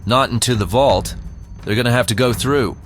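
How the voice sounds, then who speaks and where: A man's voice: medium pitch, normal speed, a bit bright, soft background music, natural voice. A man playing a wary, principled starship officer in an audio drama, cautious, earnest and firm. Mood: grave.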